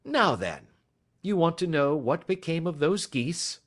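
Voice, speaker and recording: A man voicing a confident detective who speaks in a polished, measured, sweetly ironic way. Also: a little high, big pitch swings, voice pushed up. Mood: cheerily teasing. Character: confident, genteel male detective, quietly amused. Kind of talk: audiobook character voice.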